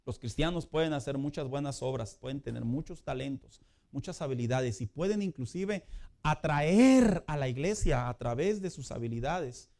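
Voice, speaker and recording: Man preaching with a mellow voice. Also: medium pitch, expressive, moderate pace. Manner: conversational preaching, rising to loud emphatic peaks. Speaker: pastor. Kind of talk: sermon.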